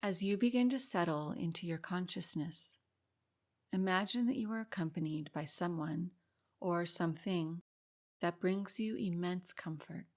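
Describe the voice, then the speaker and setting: A woman with a slightly low voice narrates a guided meditation. She speaks calmly and soothingly, giving unhurried instructions with room left between phrases. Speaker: meditation guide and podcast host.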